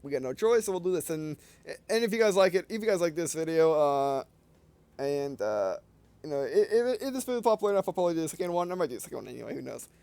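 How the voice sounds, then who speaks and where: A man's voice: a little high, sing-song, quick pace, darkish tone, smooth, no room sound. A man hosting a gameplay video with casual, rambling, digressive commentary, often trailing off mid-sentence.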